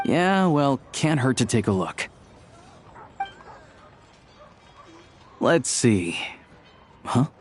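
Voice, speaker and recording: A man's voice: medium pitch, sing-song, slow pace, soft background music. A man playing an easygoing, considerate young hunter in a video game, talking casually and earnestly. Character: easygoing, considerate young male hunter doing odd jobs. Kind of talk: video game voice acting, conversational dialogue.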